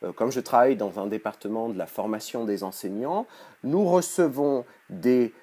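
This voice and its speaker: A man with flu and a hoarse voice giving a recorded conference talk as a professor, hesitant, with many fillers and restarts in a critical lecturing manner. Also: mid-range voice, expressive, moderate pace, mellow. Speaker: university professor.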